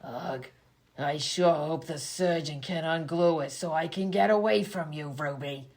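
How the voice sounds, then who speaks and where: A woman voices a fed-up sidekick in a radio comedy. The voice is low and creaky, delivered with weary deadpan grumbling. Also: measured pace. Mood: weary, irritated. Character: grumpy, fed-up sidekick longing for quiet. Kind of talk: comedy radio-play acting.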